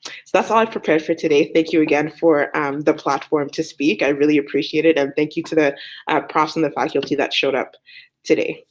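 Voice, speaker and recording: A woman's voice: low voice, fairly even intonation, a little fast, a little hiss or hum, poor audio. Earnest young woman, French native. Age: young adult. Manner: earnest, hesitant with many fillers. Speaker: student speaker. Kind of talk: open mic talk.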